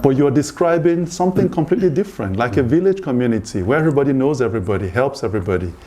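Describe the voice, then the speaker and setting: A man hosting a conversational interview, speaking in a measured, reflective way as he sums up and asks questions. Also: mid-range voice, expressive, dark tone, slight background noise. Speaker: interviewer, host.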